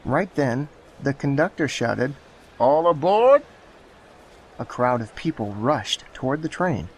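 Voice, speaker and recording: A man reading children's bedtime stories aloud in a slow, gentle storybook manner. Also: mid-range voice, expressive, husky.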